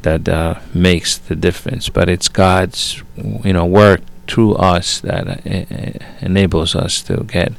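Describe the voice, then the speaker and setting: A middle-aged man from Italy speaks slowly in a low, gravelly voice. He gives a personal faith testimony, stopping often and restarting his sentences. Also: level tone. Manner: halting, many fillers and restarts. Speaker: guest father. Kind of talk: faith testimony, casual talk.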